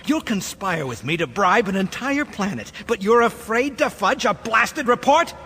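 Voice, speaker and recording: An actor playing a scheming, easily flustered Imperial colonel in a science fiction audio drama, bossy and exasperated. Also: male voice, high voice, sing-song, moderate pace, bright, forceful tone, slight background noise, exaggerated voice. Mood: exasperated rage. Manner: exasperated, bossy with underlings, fawning to superiors.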